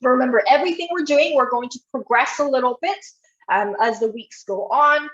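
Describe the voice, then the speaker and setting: High-voiced woman leading an exercise class. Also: brisk, a bit bright, roomy sound, highs cut off. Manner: encouraging, guiding step by step. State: croaky, losing her voice. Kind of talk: live online exercise instruction.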